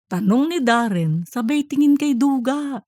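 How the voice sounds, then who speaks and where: A woman reads a scripted story as its narrator. Her voice sits fairly high, and the sound is dry with almost no room in it. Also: animated, unhurried, dark tone, dry recording. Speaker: audiobook narrator.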